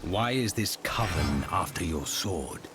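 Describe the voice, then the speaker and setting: A man's voice: sits low, lively intonation, measured pace, over music. An actor playing a stoic, blunt male warrior in a fantasy video game, speaking solemnly and formally. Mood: stern, curious.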